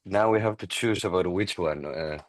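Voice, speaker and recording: Low-voiced man, dry close sound, chatty explainer who tags lines with "no?". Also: normal speed, no room sound. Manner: explains tech with fillers and tag "no?". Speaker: product manager on community call. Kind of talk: casual explanatory chat.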